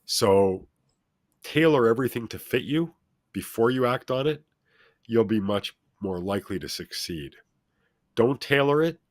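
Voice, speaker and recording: A middle-aged or older man with a deep, authoritative voice, speaking as a motivational guest and addressing listeners in an emphatic, instructive way. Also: animated, unhurried, pauses often, dry recording, studio quality. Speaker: talk show guest, self-help speaker. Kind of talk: motivational interview talk, speaking at length.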